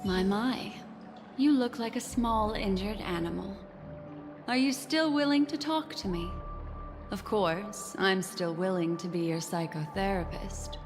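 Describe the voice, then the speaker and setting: An actress playing a poised, mysterious, soothing confidante in a video game, with a measured, coy and formal delivery. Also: female voice, mid-range voice, animated. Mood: coy, teasing. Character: poised, mysterious woman, soothing fortune-teller-like confidante and schemer. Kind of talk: scripted video game voice acting. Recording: long reverb, music behind. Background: game music.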